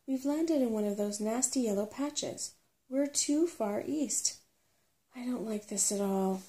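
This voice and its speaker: An earnest young boy in a storybook adventure, voiced by a woman reader. He sounds anxious and unsure of himself. The tone is mellow with some fry. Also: on the high side, unhurried. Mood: worried. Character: human boy, uneasy, startled, earnest.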